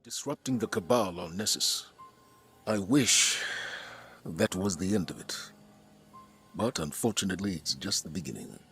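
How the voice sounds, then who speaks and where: A man playing a stern, composed military leader in a video game, briefing in a measured, authoritative and grave manner. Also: deep voice, lively intonation, fairly bright tone, faint music behind. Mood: weary, sober. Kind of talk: scripted game briefing and dialogue.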